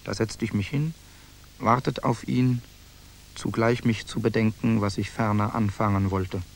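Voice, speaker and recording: A man's voice: fairly low pitch, steady tone, a little slow, vocal fry. An actor in a German radio drama playing a hardened soldier who narrates his own tale in archaic, clipped diction. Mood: grim, vivid.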